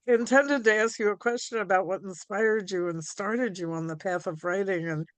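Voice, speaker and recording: A woman hosting a live online conversation over a video call, talking in a halting way with many ums and restarts. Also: mid-range voice, smooth, no room sound. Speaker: host.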